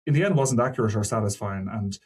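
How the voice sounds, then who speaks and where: A man's voice: fairly low pitch, fast talker, breathy edge. A man speaking as a podcast guest and senior engineer, explaining technical work in an informal, discursive way with frequent asides. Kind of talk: casual technical interview talk.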